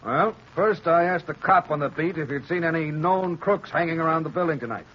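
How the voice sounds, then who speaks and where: A muffled, slightly noisy vintage radio recording of an actor as a police commissioner. His voice sits fairly high for a man. He is brusque, sarcastic and talks down to people. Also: brisk, lightly acted. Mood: scornful, impatient. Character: gruff, scornful male police commissioner. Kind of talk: 1930s radio acting.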